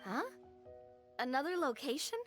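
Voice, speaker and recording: A woman voicing a bubbly, expressive young woman who is easily amazed and likes to tease. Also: high-pitched, moderate pace, faint music behind. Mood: excited. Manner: exclamatory, teasing, expressive. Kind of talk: game cutscene voice acting.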